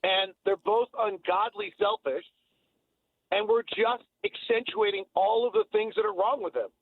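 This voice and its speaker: A man's voice: high-pitched, lively intonation, moderate pace, creaky, slightly rough, dry recording, phone-quality sound. A man giving emphatic, opinionated basketball analysis in a sports radio conversation, repeating phrases to drive points home. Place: radio talk show.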